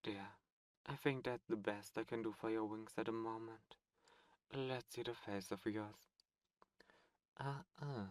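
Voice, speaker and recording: A man voicing a suave, teasing male demon prince in an ASMR audio roleplay, soft-spoken and playfully menacing. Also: fairly low pitch, fairly even intonation, unhurried, pauses often, no echo, studio quality, close to an everyday voice. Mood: silky teasing.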